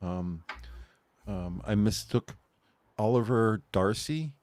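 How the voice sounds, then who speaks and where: A man's voice: lowish voice, takes it slowly, darkish tone, a little hiss or hum. A man co-hosting an online talk show, rambling casually and laughing at his own jokes. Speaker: podcast co-host. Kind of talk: casual chat.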